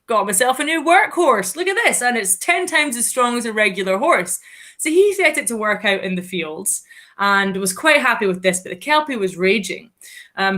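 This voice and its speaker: A woman from Glasgow with a Scottish accent, a folklore podcast co-host chatting and telling tales in an animated way. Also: on the low side. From Glasgow, Scotland. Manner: animated storyteller with fillers and restarts. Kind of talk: storytelling and casual chat.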